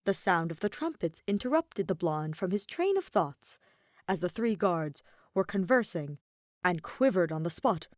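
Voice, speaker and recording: A woman reading fiction aloud as an expressive scripted storyteller. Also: on the low side, normal speed, no room sound, studio quality. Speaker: podfic reader.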